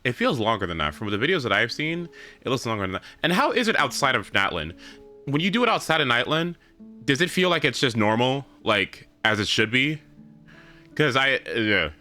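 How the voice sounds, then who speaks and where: A man chatting casually as a game livestreamer, thinking aloud in a halting way and repeating words. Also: medium pitch, big pitch swings, bright, forceful tone, faint music behind. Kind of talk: casual livestream chat over gameplay.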